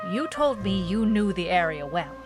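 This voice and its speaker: A woman's voice: medium pitch, animated, moderate pace, lightly acted. An actress playing a hardboiled young woman private eye, wry and businesslike, in a noir audio drama. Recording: music bed under the voice. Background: music.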